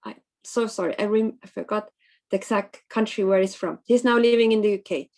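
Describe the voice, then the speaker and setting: A woman gives a conference talk over a computer mic on a video call. Her pitch sits in the middle, and her delivery is loose and informal, with frequent breaks and restarts as she searches for the next word. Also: brisk, darkish tone. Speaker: presenter on English teaching.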